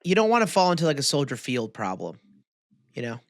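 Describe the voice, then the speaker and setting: A man's voice: mid-range voice, sing-song, fairly quick, no room sound, clean studio sound. Casual, slangy male podcast co-host. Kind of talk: casual comedic banter.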